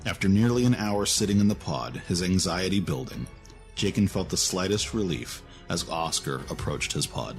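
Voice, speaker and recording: A man's voice: deep voice, lively intonation, normal speed, poor audio. A man narrating a dramatic audio story from a script. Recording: music behind the voice.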